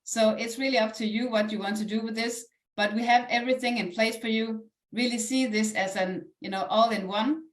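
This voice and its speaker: A woman with a Danish accent giving a live online motivational sales presentation in an earnest, encouraging, conversational way. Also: mid-range voice. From Denmark. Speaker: online presenter, network marketing ambassador. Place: indoors, echoey room, live stream. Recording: roomy, echoey live online call.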